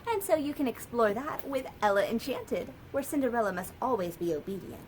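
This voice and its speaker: An American woman, a children's librarian, telling a story and sharing books for kids in a lively, sing-song way. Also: on the high side, brisk, a little hiss or hum.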